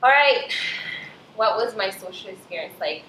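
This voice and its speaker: A woman's voice: mid-range voice, lively intonation, normal speed, pushed and bright. A young woman from Cameroon, a native French speaker, chatting casually and assertively as she trades questions and answers on a vlog. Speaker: vlog host. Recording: roomy echo, clear background noise.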